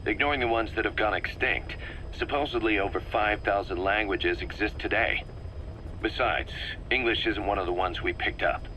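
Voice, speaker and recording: Low, sharp-voiced military second-in-command. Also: male voice, a little hiss or hum, low-quality recording. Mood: puzzled, serious. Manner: measured, methodical explaining. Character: analytical, wary male military second-in-command briefing his leader. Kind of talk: scripted video game cutscene dialogue.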